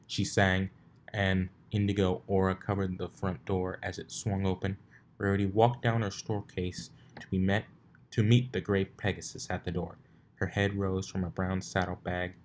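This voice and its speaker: A man's voice: deep voice, little pitch movement, measured pace, slight background noise. A man narrating a scripted story as an amateur reader, informal and given to wry asides. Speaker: amateur fan fiction reader.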